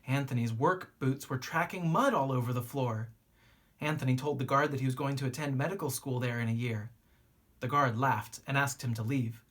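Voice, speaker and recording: A teacher reading a children's picture book aloud in an animated way, with drawn-out emphasis and a slightly husky voice. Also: medium pitch. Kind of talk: expressive children's storybook read-aloud.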